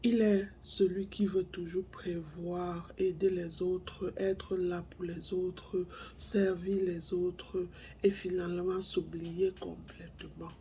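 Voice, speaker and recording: A woman with a cold speaking to her viewers in a slow, halting monologue. She repeats herself and drops to near-whispers. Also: on the low side, little pitch movement, warm tone, a little hiss or hum. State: has a cold, congested. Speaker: spiritual speaker.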